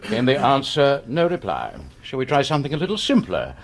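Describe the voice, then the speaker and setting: An actor playing a brisk, sardonic, superior British surgeon in a radio drama, with a dry lecturing manner. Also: male voice, mid-range voice, huge pitch swings. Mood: brisk, sarcastic.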